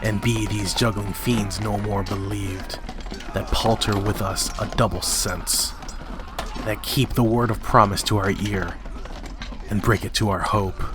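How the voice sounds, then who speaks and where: Man performing doomed warrior king, defiant, Shakespearean stage verse, low register, unhurried delivery. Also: steady tone, natural voice. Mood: bitter. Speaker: amateur reader in library staff dramatic reading. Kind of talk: Shakespearean dramatic reading. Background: music and battle sound effects.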